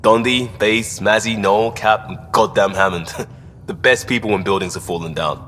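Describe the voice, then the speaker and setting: Plainspoken male space rescuer for a game: lowish voice, earnest, casual, slight music bed. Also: fairly even intonation, moderate pace, pushed and bright. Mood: fond. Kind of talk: video game character dialogue. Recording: hall reverb, faint music behind.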